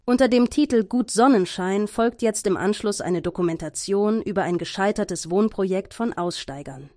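A woman's voice: mid-range voice, moderate pace, a little mellow, a little hiss or hum, natural voice. A woman playing a courteous, formal radio announcer reading a scripted announcement in an audio drama.

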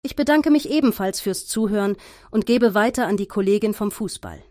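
An actress playing an earnest radio news presenter, speaking formally and composedly in a satirical radio play. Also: female voice, mid-range voice, a little fast, noisy background, close to an everyday voice.

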